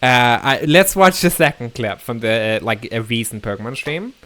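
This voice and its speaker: A man's voice: mid-range voice, animated, normal speed. Man chatting on stream. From Denmark. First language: Danish. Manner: rambling, self-deprecating, stumbles and restarts often. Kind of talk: casual live-stream chat.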